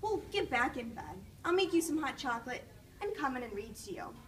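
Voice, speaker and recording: A woman's voice: high voice, big pitch swings, fairly quick, a bit bright. A woman voicing a caring, patient mother in a children's storybook read aloud, recorded at a distance from the mic. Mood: coaxing.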